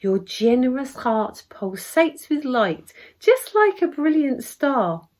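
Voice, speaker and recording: A woman's voice: high-pitched, sing-song, slow pace, a little mellow, exaggerated voice. A woman voicing a radiant, kindly little fairy who gushes and exclaims, in a storybook read in verse. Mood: tender, admiring. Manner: gushing, exclaiming.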